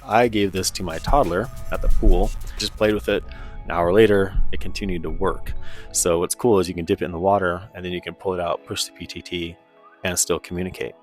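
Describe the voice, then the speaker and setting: A man's voice: low voice, fairly even intonation, fairly quick, faint music behind. A man giving a casual, informal product review in a conversational way. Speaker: presenter of radio gear review.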